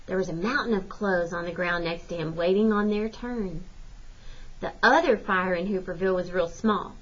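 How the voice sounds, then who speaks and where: A woman narrates a folksy children's novel with expression, as a teacher reading aloud would. Her pitch is average for a woman. The recording sounds a bit lo-fi and dulled. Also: fairly bright tone. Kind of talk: expressive storybook narration, first-person folksy text.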